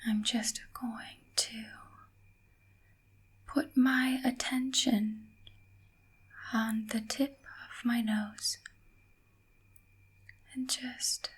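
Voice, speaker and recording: A woman softly whispering a guided ASMR meditation in a gentle, calming manner, leaving long pauses between short phrases. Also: fairly high pitch. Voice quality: soft, breathy whisper.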